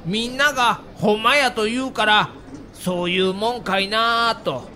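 A man's voice: high-pitched, measured pace, pushed and bright, a faint crowd, heavily acted. A male actor playing Kansai-accented baseball men quoted in an audio documentary about their championship season. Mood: excited recollection. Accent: Kansai Japanese.

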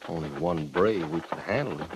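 An actor playing a wary, loyal cavalry sergeant in a 1950s radio western, with a gruff, folksy delivery. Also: male voice, sits low, normal speed, lightly acted. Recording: noisy 1950s radio recording.

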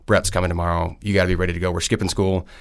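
Low gravelly-voiced guy in his 30s from wisconsin, talks fast and rambles a bit, lots of ums. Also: flat delivery. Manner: friendly, rambling, many fillers. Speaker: state senator. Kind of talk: casual interview answers.

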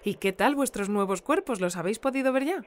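A woman's voice: on the high side, lively intonation, fast, natural voice. A woman with a Spain accent playing a polite, chatty local news presenter in a radio drama, conducting live interviews. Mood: friendly, inquisitive.

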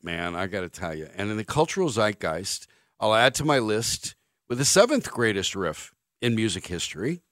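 A man's voice: mid-range voice, a bit bright, no echo. Dry, sarcastic middle-aged sports radio man. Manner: wry, sarcastic jokes, rambling anecdotes. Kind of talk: sports talk radio monologue. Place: radio studio. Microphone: studio microphone.

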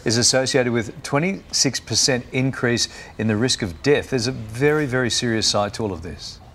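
A man's voice: medium pitch, moderate pace, fairly bright tone. A man hosting a conversational radio panel. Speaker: host.

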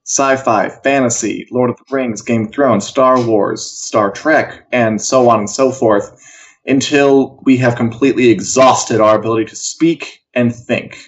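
A man's voice: mid-range voice, measured pace. A man co-hosting a casual pop culture news chat in a wry, jokey, chatty way, over a video call. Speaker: co-host of a pop culture live show. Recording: dull, lo-fi sound.

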